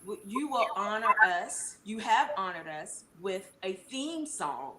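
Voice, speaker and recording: A woman's voice: medium pitch, sing-song, slow pace. A woman hosting a casual interview with guests as the show's host. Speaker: show host and playwright. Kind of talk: casual hosted cast interview. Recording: roomy echo, faint background noise.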